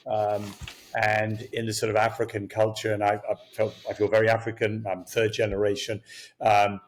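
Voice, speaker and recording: A man's voice: lowish voice, moderate pace, a little hiss or hum. Older Kenyan-raised man telling stories. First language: Swahili. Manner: animated, chuckling, many restarts. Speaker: guest. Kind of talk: storytelling interview talk.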